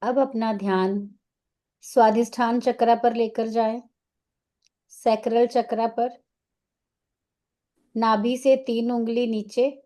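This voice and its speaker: A woman with an Indian accent guides a meditation in a fairly high, mellow voice. She speaks very slowly and soothingly, giving short instructions and leaving pauses between phrases. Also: steady tone.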